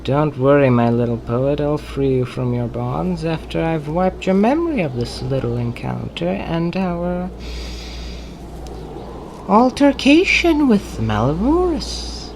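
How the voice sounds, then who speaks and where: A woman's voice: low voice, big pitch swings, takes it slowly, gravelly, darkish tone, slight background noise, heavily acted. A woman playing a gloating renegade Time Lord villain in an audio drama, taunting and mock-polite. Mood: smug taunting.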